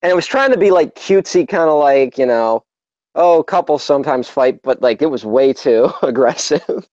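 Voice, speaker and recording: A man's voice: on the high side, lively intonation, normal speed, a little mellow, no echo, lo-fi sound. A man co-hosting a casual movie podcast, laid-back and loose, peppering his talk with "like". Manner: laid-back, lots of "like", laughs easily, swears. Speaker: podcast co-host.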